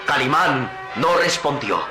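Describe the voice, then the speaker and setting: A man's voice: mid-range voice, expressive, a little slow. A man narrating an old radio serial in an emphatic, suspenseful way. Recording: roomy old radio recording with music.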